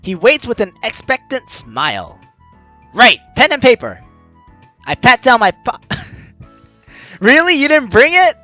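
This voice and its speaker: A man's voice: very high-pitched, measured pace, long pauses, no echo. A man hosting a let's play, expressively reading game text aloud and commenting, on a close mic with music behind. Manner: expressive, acts out lines as he reads.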